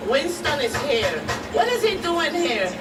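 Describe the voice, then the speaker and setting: Woman acting an anxious high-voiced protective mother. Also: big pitch swings, unhurried, reverberant, far from the mic, over loud music, rough recording. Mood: wary, irritated. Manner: pleading, scolding. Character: anxious protective mother pleading with an angry ex-partner. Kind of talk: radio drama acting.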